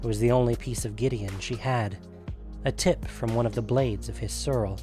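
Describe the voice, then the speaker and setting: Measured male fantasy story narrator. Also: medium pitch, steady tone, over music.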